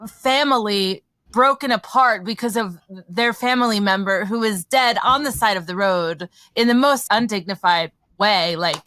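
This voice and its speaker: A woman podcast host musing aloud in an earnest, animated conversational way. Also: medium pitch, moderate pace, dry recording.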